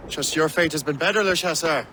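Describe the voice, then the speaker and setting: A man's voice: fairly high pitch, a little fast, close to an everyday voice. A man playing a direct, purposeful young assassin in a video game. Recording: clear ambient noise behind.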